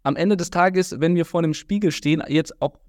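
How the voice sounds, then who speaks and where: A man's voice: on the high side, normal speed, no room sound. A man hosting a podcast interview, talking in a friendly, rambling way with many fillers. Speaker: podcast host. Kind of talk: casual interview chat.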